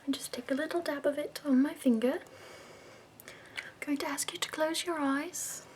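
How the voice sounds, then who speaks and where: A woman in her twenties voicing a prim, fussy, upbeat woman makeup escort from the capital, in a soft whispered ASMR roleplay. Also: sits high, normal speed, a little hiss or hum, lightly acted. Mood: prim, soothing.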